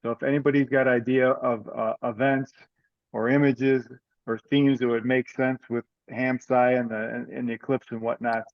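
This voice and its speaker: A man chairing an informal online meeting, giving updates in a halting way with repeated words. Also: mid-range voice, little pitch movement, no room sound. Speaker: meeting chair of an amateur radio club group. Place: online video call. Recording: slightly dull video-call audio.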